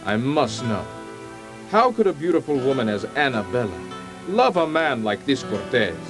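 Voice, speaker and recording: An actor playing a gallant, concerned masked hero in a video game, with an earnest, chivalrous, dramatic manner. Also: male voice, medium pitch, big pitch swings, moderate pace, over music, close to an everyday voice. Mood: earnest wonder.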